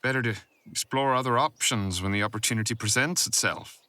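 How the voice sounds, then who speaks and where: A man's voice: mid-range voice, fairly quick, pushed and bright, no room sound, natural voice. A man playing a haughty, teasing, flirtatious cousin with an arch, insinuating drawl, in a fantasy audio roleplay. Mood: mocking.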